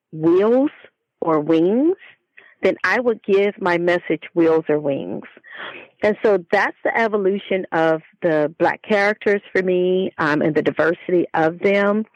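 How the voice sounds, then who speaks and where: A woman being interviewed as an author, telling her stories in an expressive, conversational way. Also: fairly low pitch, no echo, muffled recording. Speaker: guest, children's book author.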